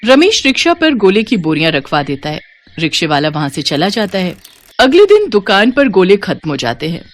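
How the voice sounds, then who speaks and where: A woman narrator tells a scripted moral story. Her pitch sits in the middle and moves up and down in a very lively way. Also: normal speed.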